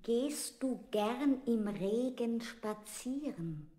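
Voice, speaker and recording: A woman's voice: fairly high pitch, lively intonation, extremely slow, warm tone. An actress playing a persistent, insistent woman questioner in an avant-garde radio play. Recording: echoey, very noisy recording.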